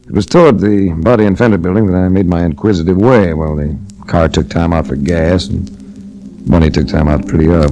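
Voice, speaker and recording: Hard-boiled sleuth: low-ish, sardonic, laconic; vintage radio drama. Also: male voice, mellow, rough recording. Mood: somber. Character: wry hard-boiled male insurance investigator. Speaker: detective narrator. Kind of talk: 1940s radio drama acting. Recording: restored old radio broadcast.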